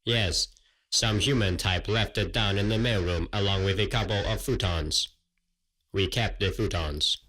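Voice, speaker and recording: An actor playing an officious robot janitor in a sci-fi comedy radio play, with formal, deadpan, dryly contemptuous delivery. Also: male voice, fairly low pitch, measured pace, poor audio. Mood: officious, matter-of-fact.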